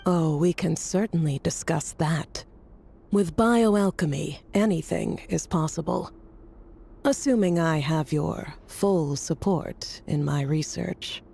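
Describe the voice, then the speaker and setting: Casting: a provocative scholar-alchemist, lowish mellow voice, dry and cutting, for a fantasy game. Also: female voice, takes it slowly, vocal fry, a little hiss or hum, full character voice. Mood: sly, intrigued. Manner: dry, barbed, articulate. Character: cool, sardonic female alchemist scholar, provocative and shrewd. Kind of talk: video game voice acting.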